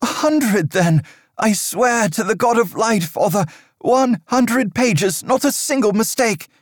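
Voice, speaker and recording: A man's voice: high voice, lively intonation, slow pace, no room sound, studio quality, pitched above the performer's own voice, heavily acted. A man voicing a desperate young monk who pleads and grows menacing. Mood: pleading.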